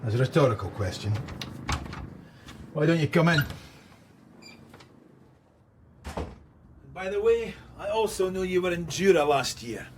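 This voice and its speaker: An actor playing a sardonic middle-aged police detective in a radio crime drama, needling and teasing with dry sarcasm in a husky voice. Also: male voice, fairly high pitch, very animated, normal speed, long pauses, slight background noise.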